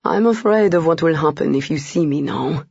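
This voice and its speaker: An ardent gentleman lover, tender yet strained, speaks low and urgently, as a woman narrator puts him on. The voice is husky with a gravelly edge. The recording is dry and a little dull. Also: expressive, moderate pace, no room sound, heavily acted.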